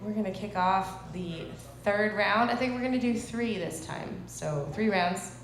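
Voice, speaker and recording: A woman's voice: mid-range voice, unhurried, gravelly. A woman host with a stuffy voice from a cold, making loose, chatty announcements from a live music hall stage. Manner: chatty, loose, filler-heavy. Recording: echoey hall with loud crowd noise.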